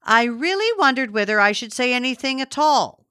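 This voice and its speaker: A woman's voice: fairly high pitch, expressive, moderate pace, fairly bright tone, clear, resonant tone, no echo, clean studio sound, voice pushed up. A woman voicing a sanctimonious, patronizing female character from a story, condescending and dwelling emphatically on her words. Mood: sanctimonious disapproval.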